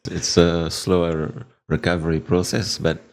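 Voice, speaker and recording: An older man from Malaysia, a podcast guest, telling his life story in an unhurried, plain way, sometimes repeating words as he starts a thought. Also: deep voice, flat delivery. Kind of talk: relaxed storytelling in conversation.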